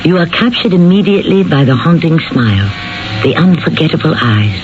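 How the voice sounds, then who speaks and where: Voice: mid-range voice, big pitch swings, fairly quick. A host delivering dramatic scripted narration for a radio mystery play. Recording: dull vintage radio broadcast with music.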